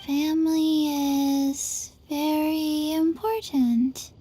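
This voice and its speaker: Very slow, deadpan little zombie girl. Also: sits high, faint music behind. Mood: matter-of-fact. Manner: deadpan, halting. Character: small undead zombie girl, flat and slow. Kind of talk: video game voice acting.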